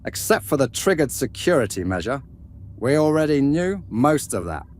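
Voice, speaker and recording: A man's voice: medium pitch, very animated, moderate pace, a little mellow, close to an everyday voice. A man playing a courteous, inquisitive starship crew leader in an amateur sci-fi drama, with old-fashioned phrasing.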